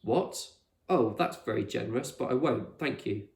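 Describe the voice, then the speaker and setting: Eccentric gentleman explorer for a storybook, done by a male reader: formal, dryly pompous, reverberant room. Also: medium pitch, expressive, moderate pace, close to an everyday voice. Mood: dryly polite. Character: eccentric adult male explorer, courteous but sardonic. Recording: echoey room.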